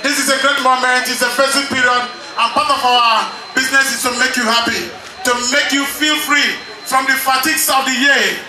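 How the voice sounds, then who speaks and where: A man's voice: extremely high pitch, steady tone, moderate pace, recorded from a distance. A man working as master of ceremonies, addressing guests in a showy, declamatory way. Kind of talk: loud event hosting. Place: noisy wedding celebration. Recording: echoey event PA with loud background noise.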